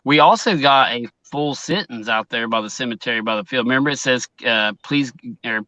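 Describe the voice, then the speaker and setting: A man's voice: medium pitch, a little fast, pushed and bright, no room sound. A man hosting a live streamed talk show in casual conversation.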